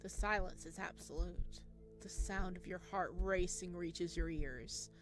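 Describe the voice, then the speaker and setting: A woman's voice: fairly low pitch, a little slow. A woman playing a visual novel, reading its narration aloud and adding informal, giggly asides of her own. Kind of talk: game playthrough, reading aloud with chatty reactions. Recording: music behind the voice. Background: game music.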